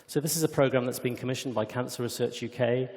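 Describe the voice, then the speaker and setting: A man giving a product demonstration at a conference, explaining in a measured way, recorded in a reverberant hall. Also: mid-range voice, steady tone, a little mellow. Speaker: company executive presenting a product demo. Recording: echoey hall with long reverb.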